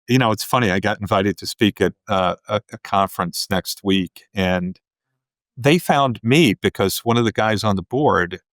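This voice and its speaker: Low-voiced man hosting a podcast interview. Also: dry recording. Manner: relaxed, rambling, many fillers. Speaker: podcast host. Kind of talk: casual interview chat.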